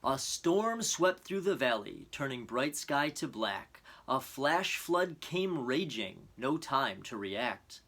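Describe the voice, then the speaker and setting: A man presenting and reading a children's storybook aloud in an earnest, expressive, measured way. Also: mid-range voice. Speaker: storybook reader.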